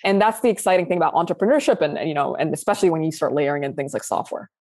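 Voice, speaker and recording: Young woman guest, originally from Sudan, low voice, dry close mic, chatty and animated with frequent laughs. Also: racing, dry recording. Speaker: guest founder. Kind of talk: casual interview chat.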